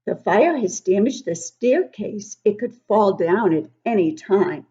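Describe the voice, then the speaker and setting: A brave, urgent firefighter man from a children's storybook, acted out by a woman reader. The delivery swings widely in pitch, and the recording is a bit dull and lo-fi. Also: expressive, measured pace, mellow, slightly rough.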